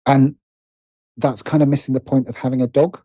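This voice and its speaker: A man speaking as an interview guest in a casual, conversational way, animated but halting, often restarting and repeating phrases as he thinks aloud. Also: mid-range voice, no room sound. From Britain. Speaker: interview guest, writer and producer.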